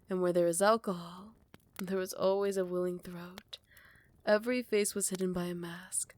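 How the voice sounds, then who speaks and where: A woman voicing a proud, centuries-old female vampire queen in an audio roleplay. She shifts between close, hushed whispered storytelling and sharp, scornful retorts, recorded on a close microphone. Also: lowish voice, close to an everyday voice. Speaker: voice actor. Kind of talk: ASMR roleplay.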